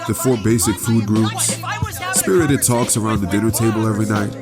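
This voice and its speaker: Sardonic young antihero, acted by a man, lowish voice. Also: fairly even intonation, moderate pace, over music, lightly acted. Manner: sardonic, wry. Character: bitter, sardonic young male antihero telling his origins. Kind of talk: dramatic first-person narration.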